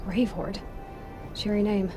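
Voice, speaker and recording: A woman's voice: mid-range voice, flat delivery, slow, close to an everyday voice. A woman acting as a young female adventurer in a video game. Her mid-range voice is wry and blunt, with defiant impatience. Music runs behind her. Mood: sardonic.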